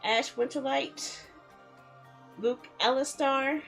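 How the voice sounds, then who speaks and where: A woman streaming a game in a casual, expressive, chatty way, reading story text aloud and reacting to it. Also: high voice, takes it slowly, gravelly. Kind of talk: casual live let's play, reading visual novel text aloud. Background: background music.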